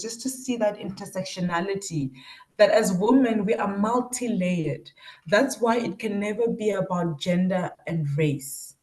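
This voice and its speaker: A woman's voice: mid-range voice, a little mellow. South African woman lecturer, echoey room. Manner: reflective, acts out quoted remarks. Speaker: university lecturer presenting research. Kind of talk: academic talk with personal anecdotes. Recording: roomy, echoing sound.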